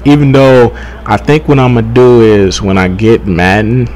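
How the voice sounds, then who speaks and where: A young man giving casual, rambling gaming commentary, halting, repeating himself and swearing freely. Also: medium pitch, steady tone, darkish tone. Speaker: gaming YouTuber.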